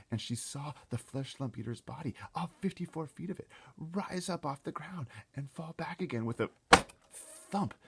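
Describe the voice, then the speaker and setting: A man's voice: mid-range voice, slight background noise. A man reading a children's story aloud as dramatic narration, theatrical and suspenseful, often dropping to a whisper. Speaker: storybook reader.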